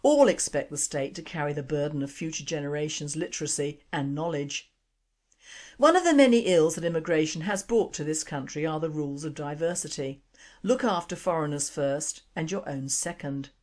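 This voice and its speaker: Older woman, low voice, radio host reading an indignant opinion piece. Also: moderate pace, darkish tone. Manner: emphatic, indignant, rhetorical questions. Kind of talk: scripted opinion commentary.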